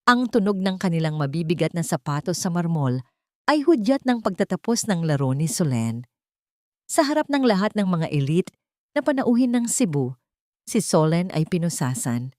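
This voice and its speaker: A woman narrates a scripted melodramatic audio story. Her voice sits a little low for a woman, and the recording is dry and close, with little room sound. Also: very animated, normal speed, dry recording.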